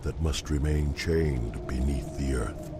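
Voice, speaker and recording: A man's voice: extremely low pitch, takes it slowly, over music. An actor playing a grim male elf warden who guards a prison, speaking in a grave, warning way.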